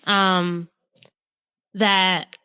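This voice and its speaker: A woman's voice: fairly low pitch, little pitch movement, extremely slow, sharp tone, clear, resonant tone. A young adult woman hosting a podcast, chatting easily with her guest and also reading an ad. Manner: conversational, encouraging interviewer. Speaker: podcast host. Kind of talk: casual interview chat and ad read.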